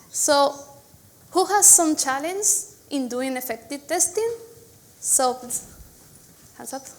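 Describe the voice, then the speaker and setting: A woman's voice: high-pitched, fairly bright tone. A woman in her thirties from Spain with a Spanish accent, giving a chatty conference talk full of "so"s and restarts. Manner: chatty storytelling with many "so"s and restarts. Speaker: conference speaker. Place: conference hall.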